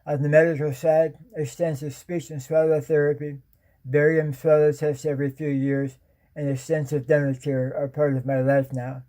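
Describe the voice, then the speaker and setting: Flat, mellow man reading testimony. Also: a little high, moderate pace, poor audio. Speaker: patient speaker. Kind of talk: prepared testimony read aloud.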